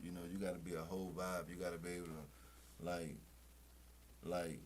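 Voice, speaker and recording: A man with a very deep voice talks about his music in loose, swaggering street slang. He is profane and boastful, and he keeps checking in with his listener. A faint hum of room noise sits underneath him. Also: little pitch movement, moderate pace. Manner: boastful, profane, repeats "you know what I'm saying". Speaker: rapper and interview guest. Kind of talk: casual interview chat.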